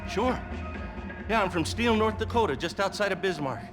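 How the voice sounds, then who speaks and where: An actor playing a friendly, nervous middle-aged retired cop in a video game, rambling on with a folksy American Midwestern accent. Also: male voice, high voice, lively intonation, a little fast, music in the background. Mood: nervous, affable.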